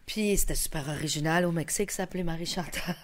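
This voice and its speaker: Gravelly-voiced woman with a Lac-Saint-Jean Quebec accent. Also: fairly low pitch, quick pace. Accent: Lac-Saint-Jean Quebec French. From Mexico. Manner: animated, laughs often between phrases, many fillers. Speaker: guest musician. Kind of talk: casual storytelling chat.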